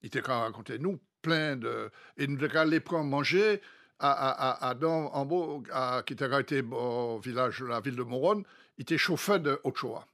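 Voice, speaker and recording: Halting Martinican man, Creole-laced French. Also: mid-range voice, a bit bright. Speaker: studio guest. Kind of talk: casual discussion, storytelling about history.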